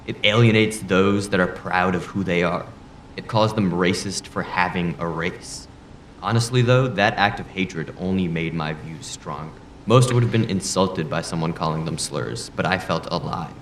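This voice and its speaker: An actor playing a proud, principled politician in an audio drama debate, speaking in an earnest, blunt and deliberate way. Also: male voice, deep voice. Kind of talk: audio drama acting, formal debate rhetoric. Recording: roomy echo, faint background noise.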